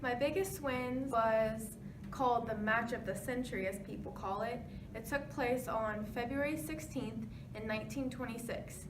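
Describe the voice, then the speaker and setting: A woman's voice: a little high, moderate pace, lightly acted. A woman playing a pioneering 1920s female tennis champion, answering interview questions from a rehearsed script. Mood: matter-of-fact. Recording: noisy, echoey room, distant mic.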